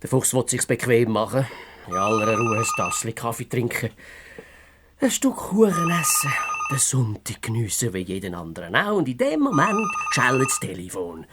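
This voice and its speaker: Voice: mid-range voice, wildly swooping pitch, moderate pace, heavy background noise. An actor playing a grumpy, put-upon fox detective in a children's radio play, with a world-weary grumble. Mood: grumbling, resigned.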